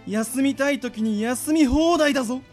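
A man's voice: very high voice, normal speed, loud music behind the voice. A man playing an ambitious, theatrical monster boss in a radio drama, rousing his followers like a speechmaker. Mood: persuasive. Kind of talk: anime-like radio drama acting.

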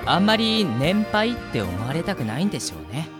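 Brief: male actor for a humble, courteous hand strap in radio comedy, lively swooping intonation, music behind. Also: fairly high pitch, a little fast. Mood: polite, curious. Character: modest, polite male priority-seat hand strap, agreeable junior. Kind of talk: comic amateur radio drama acting.

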